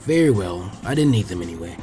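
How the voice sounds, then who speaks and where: A man's voice: sits low, fast talker, soft background music, voice pushed down. A man doing a smug, cold rogue ninja. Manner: smug, deadpan sneer. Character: arrogant, cold adult male rogue ninja.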